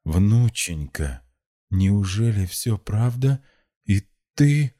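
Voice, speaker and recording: Guy doing a frail old grandpa in an audiobook, pained n stern, really slow and halting. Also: on the low side, expressive, dark tone, voice pushed up, exaggerated voice. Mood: pained disbelief.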